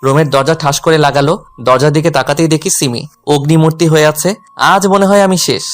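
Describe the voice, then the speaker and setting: An actor plays a lovelorn young man telling his own story in a romantic audio drama. His voice sits a little high for a man, with a melancholy, self-effacing manner. Soft music plays behind him. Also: steady tone, a little fast, close to an everyday voice. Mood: brooding. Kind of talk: scripted first-person romantic story narration with dialogue.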